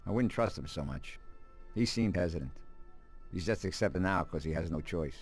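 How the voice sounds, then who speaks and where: An actor playing a cautious, refined mafia counselor who speaks in formal, measured sentences in a video game. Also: male voice, low-pitched, animated, soft background music. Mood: wary. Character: cautious, refined, articulate mob advisor. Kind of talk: dramatic video game cutscene acting.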